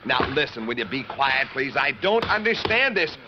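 A man's voice: mid-range voice, big pitch swings, moderate pace. A man hosting a 1950s children's television show, exclamatory and fussing as he talks. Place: television studio set. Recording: dull, noisy old television soundtrack.

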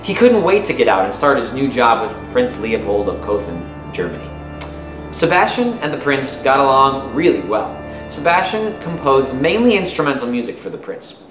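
Animated man reading to children, roomy sound. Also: mid-range voice. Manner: expressive, clearly phrased for young listeners. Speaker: teacher reading a children's book aloud. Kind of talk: read-aloud of a children's nonfiction book. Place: small room at home, indoors. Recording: roomy echo with music behind. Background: music.

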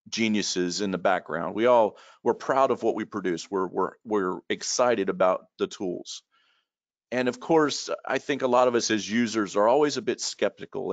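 A middle-aged man, a trainer telling stories in a relaxed, rambling way, repeating words and using many fillers, heard over a video call from a room at home. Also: fairly low pitch, dry recording. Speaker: guest expert and trainer. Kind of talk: casual storytelling in a video call. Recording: dull lo-fi call audio.

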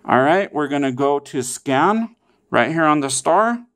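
A man with a fairly high, clean and smooth voice walks through instructions step by step. His delivery is casual and informal, and he keeps pausing to check in as he teaches. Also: lively intonation, a bit bright. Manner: repeatedly checks in with "okay" and "all right". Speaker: tutorial presenter.

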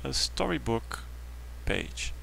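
A man's voice: mid-range voice, big pitch swings, slow, creaky, bright, forceful tone, a little hiss or hum, rough recording. A man giving casual let's play commentary, talking to himself in short phrases as he plays. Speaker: game streamer. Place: behind: game music.

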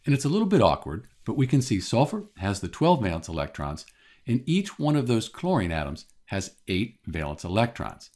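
A lower-voiced man walks through a chemistry problem one step at a time. His pitch moves a lot as he explains, but his delivery stays calm and methodical, like a teacher narrating over a drawing. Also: moderate pace. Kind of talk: scripted lesson voice-over.

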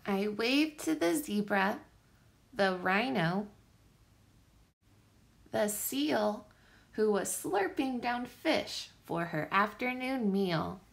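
Lady doing a kids book read aloud, really animated pitch, bit of creak in the voice. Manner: expressive, deliberate phrasing for young children. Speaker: children's story reader, teacher.

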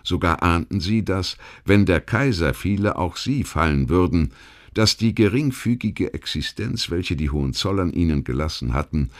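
A man narrating a history documentary audiobook, reading a literary text in a measured, articulate way with rhetorical emphasis. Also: low-pitched. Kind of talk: scripted documentary history narration, read aloud.

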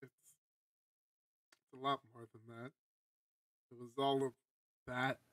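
A man's voice: medium pitch, level tone, takes it slowly, a bit bright, no room sound, pitched below the performer's own voice, poor audio. A man voicing a grieving, enraged young animal character in a visual novel, anguished and bitter. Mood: subdued, hurt. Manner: anguished, cursing through tears.

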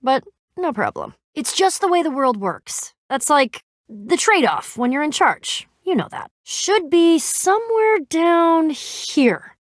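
A woman voicing a brash, excitable young female adventurer in a video game, slangy and a bit awkward as she tries to sound cool. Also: high voice, takes it slowly, no echo, studio quality, full character voice. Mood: teasing. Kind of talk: animated game dialogue acting.

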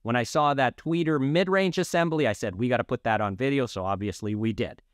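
A man's voice: medium pitch, fairly quick, dry recording, studio quality. A man in his fifties hosting and interviewing in a presenter's conversational style. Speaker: host and interviewer.

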